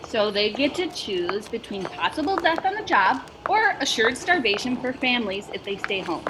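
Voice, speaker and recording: A woman's voice: fairly high pitch, sing-song, moderate pace, background noise. A woman playing a pragmatic, cynical meatpacking boss in a satirical radio play, dry and mock-earnest. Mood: resigned.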